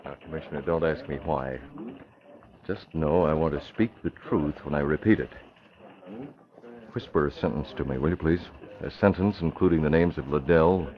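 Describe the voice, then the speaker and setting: Shrewd, deep-voiced newspaperman. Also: moderate pace. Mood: secretive, insistent. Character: shrewd, probing male newspaper publisher. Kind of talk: 1940s radio acting. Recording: muffled old radio broadcast.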